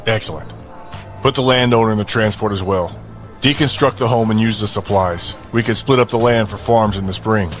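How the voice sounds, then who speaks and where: An actor playing a brisk, commanding man who runs a territory in an audio drama. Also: on the low side, faint music behind, natural voice. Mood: brisk authority.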